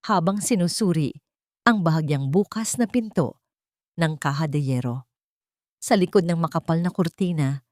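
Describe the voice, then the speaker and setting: A woman's voice: on the low side, a little mellow, no echo, clean studio sound. A woman with a Filipino accent reading a scripted dramatic story as its narrator.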